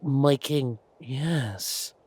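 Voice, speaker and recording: A man's voice: medium pitch, extremely slow, a little mellow, husky, pitched above the performer's own voice. A man voices an obsequious duke in a fantasy audio drama. The voice sits raised and tight with nerves. He stammers and fawns, flustered and deferential throughout. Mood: alarmed, flustered. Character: nervous, obsequious male duke, fawning and flustered. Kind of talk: villain audio roleplay.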